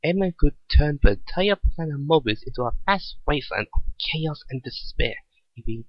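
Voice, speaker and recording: Man acting out a determined young woman mechanic, caring, earnest, halting, storybook reading, dry dull lo-fi sound. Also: fairly high pitch, expressive, no echo. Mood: worried. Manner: earnest, halting inner monologue.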